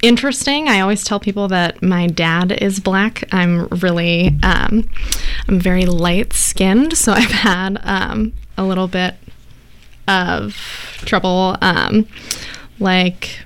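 A woman in her twenties from Oregon, a panel guest speaking hesitantly with many pauses and fillers. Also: on the low side, creaky, clean tone. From Portland, Oregon, USA. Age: 20s.

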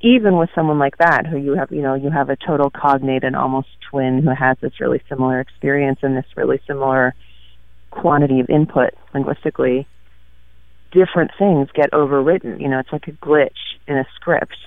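A man talking about his work in a halting, thoughtful way, with many fillers, as a guest on an interview show, heard over a tinny line. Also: mid-range voice, steady tone, creaky, no echo. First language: French. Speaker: guest author. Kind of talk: casual interview chat. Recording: tinny phone-like radio line.

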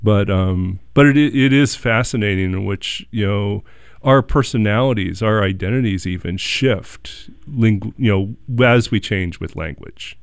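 A man hosting an interview, talking in a relaxed, reflective way with some stammering restarts. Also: fairly low pitch, a little slow, clear, resonant tone, dry recording, clean studio sound. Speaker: host. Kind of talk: casual interview chat.

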